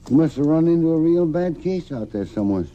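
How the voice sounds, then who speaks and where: A man's voice: on the high side, lively intonation, fast talker, warm tone, lightly acted. An actor playing a kindly, inquisitive frontier stableman with a folksy rural American Western drawl in an old-time radio drama. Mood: concerned, puzzled. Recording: dull, faintly noisy old radio.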